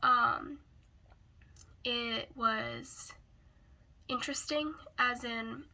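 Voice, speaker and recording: A woman who is hoarse and raspy from a cold gives a casual book review, often hesitantly searching for words. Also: fairly high pitch, fairly even intonation, very slow, bright, forceful tone, low-quality recording.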